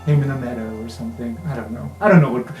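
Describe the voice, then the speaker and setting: A man's voice: mid-range voice, racing, darkish tone, dull audio. Guy game master narrating improv fantasy tabletop game, roomy sound, bit of music behind.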